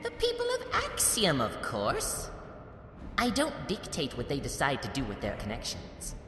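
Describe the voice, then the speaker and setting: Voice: mid-range voice, wildly swooping pitch, a little slow, a bit bright, a little hiss or hum. An actor playing an amused, condescending alien being in a sci-fi parody, with a mocking, nonchalant and theatrical delivery. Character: amused, condescending, detached alien being feeding on mental energy. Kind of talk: animated sci-fi parody voice acting. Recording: long echoing reverb with music behind.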